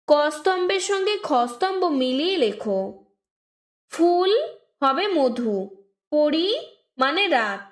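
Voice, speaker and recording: A woman with a high-pitched voice reads out a school lesson very slowly. She breaks it into short, deliberate phrases, as if dictating to young pupils. The room around her is clearly echoey. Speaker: teacher presenting textbook answers to young pupils. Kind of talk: scripted lesson read aloud, dictation-like.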